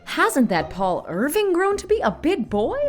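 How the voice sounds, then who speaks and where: Village gossip played by a woman: stiff, contrary matron, animated swooping delivery, music underneath, storybook drama. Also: high-pitched, unhurried, full character voice. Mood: grudging. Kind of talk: audiobook character acting.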